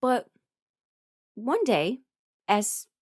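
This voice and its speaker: A woman talking casually and confidingly, giving personal advice in an informal, halting way and often correcting herself. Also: fairly high pitch, sing-song, a little mellow, slightly rough, no room sound, clean studio sound. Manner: informal, halting and self-correcting, chuckles while talking, mimics others in dialogue. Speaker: host, voice performer giving a personal ramble.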